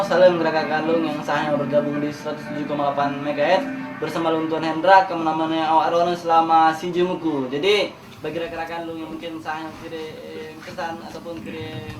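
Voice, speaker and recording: A man's voice: fairly high pitch, rough recording. A man who presents on community radio, talking in an explanatory way.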